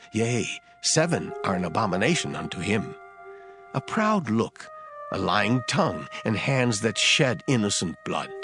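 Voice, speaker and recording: A man of medium pitch reads scripture as a dramatic narrator. His delivery is solemn and declamatory, with wide, lively intonation. Guitar-like music plays clearly behind him. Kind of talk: dramatised scripture reading in archaic English.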